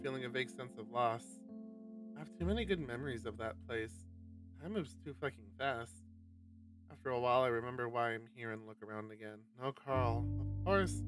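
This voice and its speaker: A man streaming a visual novel, reading it aloud and commenting casually and expressively, thinking out loud and swearing. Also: mid-range voice. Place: live game stream. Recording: distant mic, music over voice.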